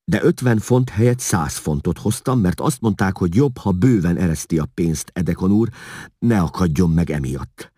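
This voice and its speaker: A man's voice: sits low, expressive, mellow, breathy edge, no room sound, clean studio sound, voice pushed up. A man voicing a whining, fearful interpreter who pleads his innocence to a king in a tearful, self-justifying way, in a storybook reading. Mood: relieved, self-justifying.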